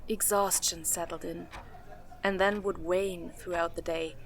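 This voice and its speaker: A woman's voice: lowish voice, little pitch movement, measured pace, low-quality recording. A woman narrating a fantasy audio drama as a scripted storyteller.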